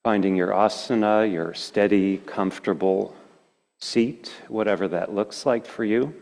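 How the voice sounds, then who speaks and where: A man leading a guided meditation as a teacher, speaking in gentle, slow phrases with long pauses. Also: lowish voice. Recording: reverberant hall.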